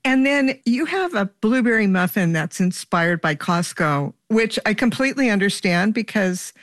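A woman interviewing a chef, asking measured questions with the odd filler um. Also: mid-range voice, expressive, dry recording, studio quality.

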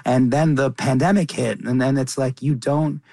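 Man, from Qatar, even intonation, mid pitch, casual interview guest, earnest, dry close sound. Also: normal speed, creaky, no echo. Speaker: interview guest, chef and cookbook author.

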